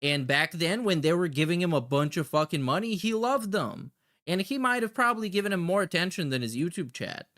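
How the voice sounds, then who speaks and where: A man's voice: high-pitched, sing-song, fairly quick, a bit bright. A man giving casual reaction commentary as a show host, swearing freely in a sarcastic, mocking manner. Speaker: podcast host reacting to clips.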